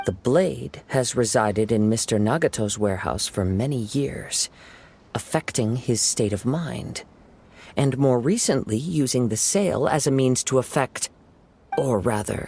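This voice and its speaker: A man playing a calm, perceptive young wandering samurai in a video game, measured, polite and formal. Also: medium pitch, vocal fry, a little hiss or hum. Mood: composed, reasoning. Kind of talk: dramatic video game voice acting.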